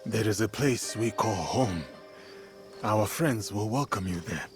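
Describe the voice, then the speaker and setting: A man's voice: on the low side, expressive, unhurried. A man playing a stern, honourable warrior companion in a video game, blunt and restrained. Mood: reserved. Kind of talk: video game cutscene acting. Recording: music behind the voice.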